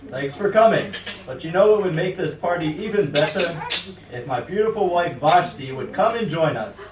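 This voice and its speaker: An easygoing puppet king, performed by a man, chats in a careless, breezy manner. The voice is a little high and sits away from the mic in a noisy room. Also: animated, normal speed, natural voice. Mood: genial. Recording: noisy, roomy, distant home recording.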